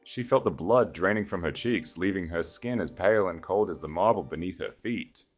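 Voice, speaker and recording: A man's voice: sits low, normal speed, soft background music. A man narrating a scripted story in a dramatic storytelling style.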